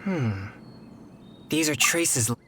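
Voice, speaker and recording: A woman playing a composed, earnest young spirit investigator in a video game, measured, stoic and reassuring. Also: deep voice, animated, heavy background noise. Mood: calm, reassuring.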